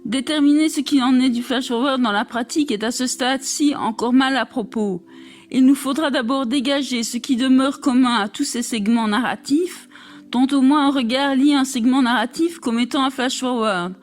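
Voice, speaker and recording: A woman reading a scholarly text aloud in a formal, measured way. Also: high-pitched, faint music behind. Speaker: reader of an academic text.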